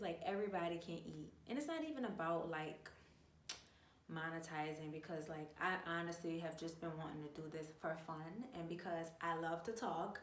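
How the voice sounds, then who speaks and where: A young woman with a New Orleans accent talks to her viewers in a loose, animated way, full of slang and filler words. Her voice sits a little low. The room is echoey and she is some distance from the mic. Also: a little hiss or hum. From New Orleans, USA. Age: 20s. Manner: chatty, animated, uses lots of slang and filler. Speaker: vlogger. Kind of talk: casual storytime chat.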